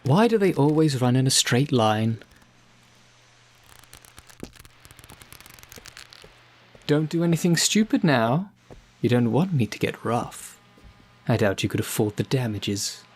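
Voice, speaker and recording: A man playing a mockingly polite, theatrical supervillain in an audio roleplay, talking with deliberate, taunting menace. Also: medium pitch, expressive, lightly acted. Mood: smug, taunting. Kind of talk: audio roleplay addressed to the listener.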